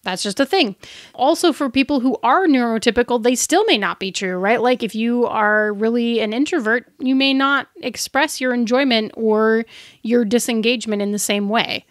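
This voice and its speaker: Woman podcast cohost, kinda high voice, casual explaining, close dry mic no room sound. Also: moderate pace, no echo. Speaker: podcast co-host. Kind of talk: casual explanatory chat about tabletop gaming.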